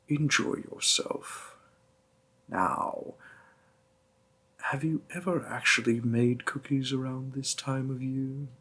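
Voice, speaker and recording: A man voicing a gentle, doting demon boyfriend in an intimate roleplay audio, with formal, courtly, coaxing phrasing and a breathy, half-whispered delivery. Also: medium pitch, full character voice. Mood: tender, earnest, then encouraging. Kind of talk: roleplay audio, intimate second-person acting.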